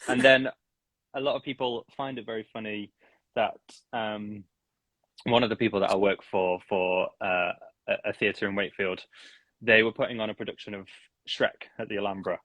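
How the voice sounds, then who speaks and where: A man's voice: lowish voice, steady tone, moderate pace, a bit bright, no room sound, muffled recording. A man, a singing teacher, chatting casually as a podcast guest, laughing often and poking fun at himself. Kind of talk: casual interview chat.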